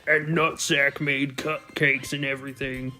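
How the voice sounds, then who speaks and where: Un homme jouant une lycéenne joyeuse et tête en l'air. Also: male voice, mid-range voice, little pitch movement, a little slow, sharp tone, faint music behind. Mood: coaxing. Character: cheerful airheaded teenage schoolgirl.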